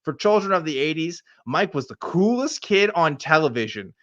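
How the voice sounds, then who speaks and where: A man's voice: a little high, sing-song, moderate pace, a bit bright, no echo, dull audio. A middle-aged American man hosting a casual show, reading aloud and riffing with sardonic, profane asides. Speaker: podcast host.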